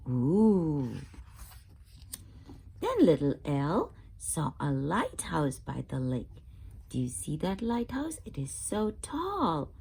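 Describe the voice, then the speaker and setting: Brief: female children's read-aloud host, very slow delivery with lively, expressive intonation. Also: low voice, creaky, husky. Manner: expressive, asks listeners questions.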